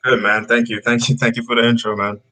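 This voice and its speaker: A man's voice: mid-range voice, fast. A man in his thirties from Trinidad, a rapper guest talking casually and rambling with fillers about his start in music. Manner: relaxed, rambling with fillers and restarts. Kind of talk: casual interview chat.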